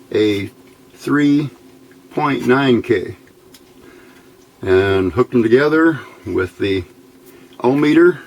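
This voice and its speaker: A man's voice: mid-range voice, darkish tone, slight background noise, rough recording. A man giving an informal hands-on electronics tutorial, thinking aloud as he works. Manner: unscripted, thinking aloud while working. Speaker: electronics hobbyist instructor.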